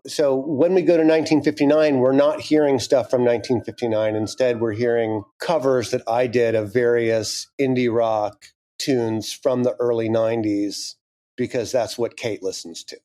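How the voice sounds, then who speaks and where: A man's voice: mid-range voice, steady tone, moderate pace, mellow. A man, the creator and composer of a show, explaining it candidly as an interview guest.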